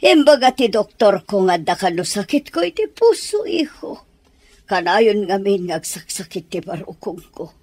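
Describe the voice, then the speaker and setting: Husky, wheedling Ilocano mother. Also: medium pitch, very animated, normal speed, darkish tone. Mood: plaintive, weary. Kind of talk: radio comedy-drama acting.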